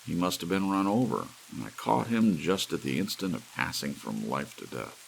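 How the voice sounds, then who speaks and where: Man acting a brainy, emphatic elderly scientist, gravelly. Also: low-pitched, big pitch swings, moderate pace, a little hiss or hum, voice pushed up, full character voice, low-quality recording. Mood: probing. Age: 60s. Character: brisk, brainy elderly male scientist, intent and self-assured.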